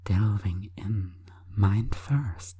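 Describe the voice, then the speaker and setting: A woman hypnotist delivering an intimate sleep hypnosis monologue in a breathy, coaxing, deliberate whisper. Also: a bass voice, mellow, no room sound.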